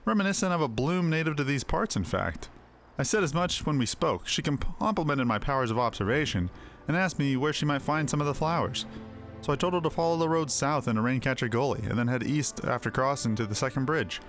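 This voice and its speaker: A man voicing a courteous, gentle blind man in a fantasy game, measured and reflective. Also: medium pitch, lively intonation, faint music behind. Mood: genial, musing.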